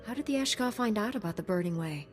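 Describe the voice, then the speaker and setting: A woman's voice: medium pitch, expressive, fast talker, faint music behind, lightly acted. A woman playing a resolute, heroic young Jedi knight in a video game, speaking in a firm, composed way. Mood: determined. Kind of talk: video game character acting.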